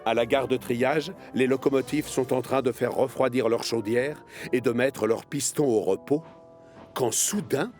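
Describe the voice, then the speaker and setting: A man narrating a children's cartoon in a measured, expressive storybook manner. His voice sits at an ordinary middle pitch. Clear cartoon music plays underneath him.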